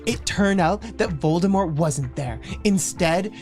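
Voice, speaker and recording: A woman's voice: lowish voice, lively intonation, unhurried, darkish tone, soft background music. A woman hosting a livestream, giving a comedic, theatrical reading of fan fiction and stumbling over the text.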